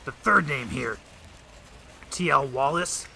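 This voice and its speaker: An actor playing a gruff, wisecracking big-city detective in an old-time radio drama, with hard-boiled slang. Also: male voice, medium pitch, lively intonation, slow pace, pushed and bright, a little hiss or hum, heavily acted. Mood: suspicious.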